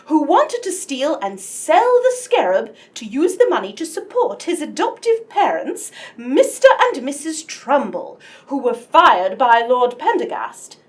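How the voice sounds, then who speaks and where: An actress plays a grand lady detective of old radio drama. Her voice is high and swooping, and she takes her lines slowly, with theatrical self-assurance. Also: very animated. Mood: triumphant, dramatic. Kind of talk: 1940s radio acting.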